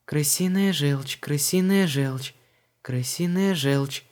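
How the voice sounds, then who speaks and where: A man voicing an excitable, bossy and squeamish young woman in an audiobook. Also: a little high, normal speed, pitched above the performer's own voice, close to an everyday voice. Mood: disgusted. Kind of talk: audiobook character voice.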